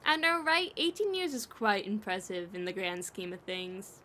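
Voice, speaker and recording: A woman's voice: mid-range voice, moderate pace, pushed and bright, natural voice. A woman voicing a playful, sarcastic non-human oddball with wry, bantering delivery in an audio drama, over background noise. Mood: playful teasing. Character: sardonic, teasing, playful eyeless bloodless oddball.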